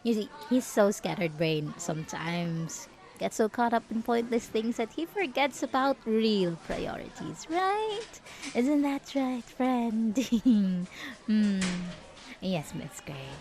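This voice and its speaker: Woman playing a slow-talking, possessive schoolgirl bully. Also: a little high, expressive, darkish tone. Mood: falsely sweet, flustered. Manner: taunting, sarcastic, switching to sugary false politeness. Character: possessive, jealous schoolgirl bully, mocking and threatening. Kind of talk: roleplay audio drama spoken to the listener.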